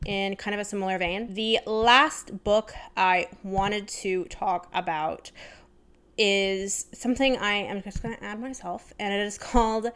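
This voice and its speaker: A woman's voice: mid-range voice, measured pace, fairly bright tone, a little hiss or hum. A woman talking informally in a book recommendation vlog, thinking aloud and sometimes reading out passages. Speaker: book review vlogger. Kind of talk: casual chatty book recommendations with read-aloud blurbs.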